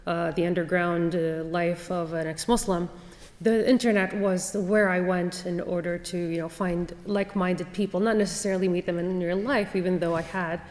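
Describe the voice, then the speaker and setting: A woman from Saudi Arabia answers from a university panel, her voice a touch low. She halts often, filling gaps with ums and restarting sentences. The hall gives her a long, reverberant tail. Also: steady tone, normal speed, darkish tone. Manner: hesitant, many fillers and restarts. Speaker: panel speaker.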